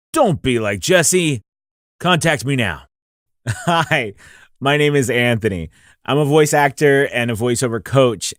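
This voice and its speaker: Animated male promo narrator, dry close sound. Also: a little high, no echo. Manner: direct address to the listener. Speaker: voice actor and voiceover coach.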